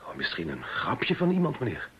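An actor playing a polite, formal and dutiful private secretary in a radio play. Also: male voice, low-pitched, huge pitch swings, fairly quick. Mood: deferential. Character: deferential, efficient male private secretary. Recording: dull, faintly hissy radio recording.

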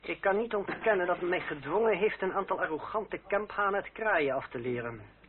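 An actor playing a proud, bitter young fencing master, speaking with formal, cool irony in a period radio drama. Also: male voice, high-pitched, animated, brisk. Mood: sardonic. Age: young adult. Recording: tinny, noisy old radio play recording.

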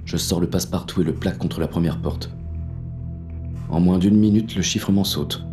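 An actor playing a wary police inspector on a burglary, narrating in a terse, tense inner monologue for an audio drama. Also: male voice, sits low, moderate pace, music in the background, natural voice. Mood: wary, focused.

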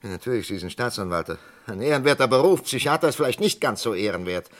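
An actor playing an insistent, impassioned male psychiatrist in a radio play, urgent and halting, with sarcastic rhetorical turns. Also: mid-range voice, expressive, natural voice.

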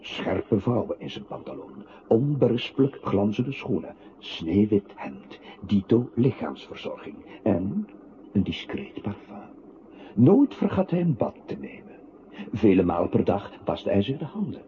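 A deliberate radio play narrator, lowish voice, kinda muffled old tape. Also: male voice, very animated, slight background noise. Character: male narrator commenting in a literary choral radio play. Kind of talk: literary radio drama narration.

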